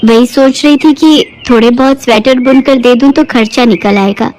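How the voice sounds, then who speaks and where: A woman's voice: high-pitched, moderate pace, a little mellow, slight background noise, lightly acted, rough recording. A woman voicing a humble, earnest village knitter who speaks politely and modestly in a Hindi moral-story cartoon. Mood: earnest, worried.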